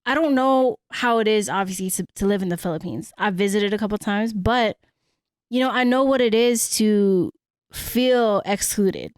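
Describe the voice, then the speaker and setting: A woman's voice: mid-range voice, normal speed, clean tone, no echo, studio quality. A young adult woman from San Francisco, first language Cebuano, co-hosting a podcast in thoughtful, easygoing casual chat. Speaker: podcast co-host.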